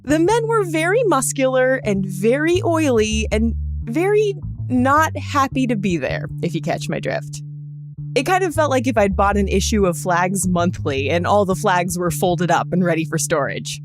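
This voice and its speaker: A woman's voice: mid-range voice, big pitch swings, moderate pace, music in the background. A woman hosting a podcast, reading scripted narration in a conversational storytelling style with wry, joking asides. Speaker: podcast host.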